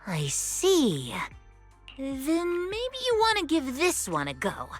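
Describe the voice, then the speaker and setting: A woman's voice: high voice, wildly swooping pitch, slow, soft background music, exaggerated voice. A woman playing an earnest, slightly flustered young pet shop clerk in an anime-style video game. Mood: helpful.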